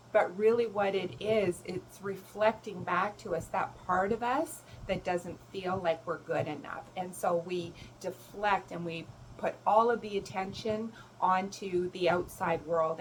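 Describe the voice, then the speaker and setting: A woman whose was German, speaking as a self-love coach in a gentle, reflective talk. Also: medium pitch, slight background noise, rough recording. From Canada. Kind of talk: reflective solo talk to camera.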